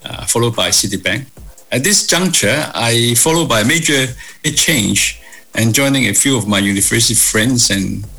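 A man in his sixties from Hong Kong, answering interview questions in a measured way with many fillers and restarts. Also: medium pitch, vocal fry, pushed and bright, breathy edge, soft background music, low-quality recording. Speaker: guest, property executive.

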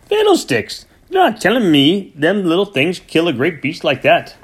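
A man voicing a miserly, furious older farmer, speaking in shrill, indignant outbursts and a hoarse wheedling whisper. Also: big pitch swings, normal speed, full character voice.

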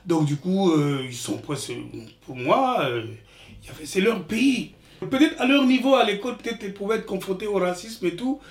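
A man's voice: high voice, moderate pace. Echoey sixty-year-old man from the Congo. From Republic of the Congo. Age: 60s. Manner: animated storytelling, many restarts. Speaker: interviewee, father. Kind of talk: casual interview talk. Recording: echoey room, distant mic.

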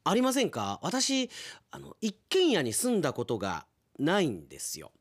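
A man's voice: on the high side, wildly swooping pitch, moderate pace, slightly rough, no echo, studio quality. A man hosting a radio show, chatting casually and playfully like an announcer, with conversational asides.

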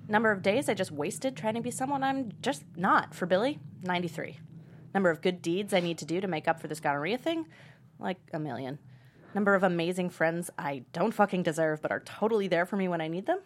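A woman's voice: lowish voice, lively intonation, a little fast, slight background noise, natural voice. An actress playing a wry, self-reproaching young woman in a confessional monologue at a comedy table read. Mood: wry, rueful.

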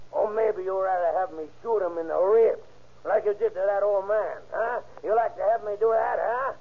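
An actor playing a cornered young gangster in 1930s radio drama style, with tough, taunting street talk on an old tinny recording. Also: male voice, high voice, breathy edge, full character voice. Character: cornered, sneering young gangster bandit with a gun.

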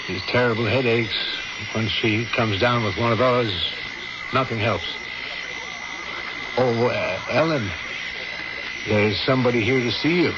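A man's voice: mid-range voice, measured pace, pauses often, close to an everyday voice. An actor playing a worried middle-aged father in an old radio drama, terse and plain-spoken. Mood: worried, courteous. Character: curt, worried father of an ailing daughter. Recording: dull, heavily noisy old radio recording.

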